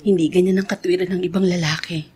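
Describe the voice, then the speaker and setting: An actress playing a sentimental middle-aged wife in love, tender and emotional, in a Filipino radio drama. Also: female voice, lowish voice, little pitch movement, quick pace, dark tone, slightly rough.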